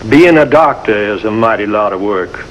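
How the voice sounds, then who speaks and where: An actor playing a gruff but kindly small-town American doctor, brusque and folksy like an uncle, in a 1950s television drama. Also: male voice, lowish voice, expressive, normal speed, lightly acted. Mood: skeptical, discouraging. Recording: noisy old 1950s television soundtrack.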